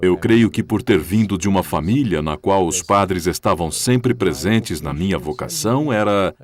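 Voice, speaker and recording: A man with a Brazilian Portuguese accent voicing an interviewee's answers in a dubbed interview, speaking in a measured, reflective way. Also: on the low side. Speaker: interviewee voice-over.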